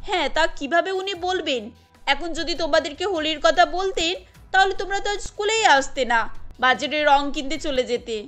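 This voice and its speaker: Lady doing a cartoon lady teacher, kinda firm n orderly, really high voice, bit of music. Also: normal speed, fairly bright tone. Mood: instructing. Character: adult female schoolteacher, orderly and mildly firm. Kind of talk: cartoon character voice.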